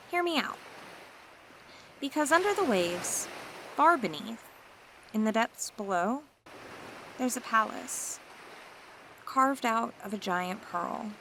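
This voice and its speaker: A woman voicing a seductive, scheming mermaid in an ASMR roleplay, talking in a teasing, flattering, coaxing way. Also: medium pitch, slight background noise.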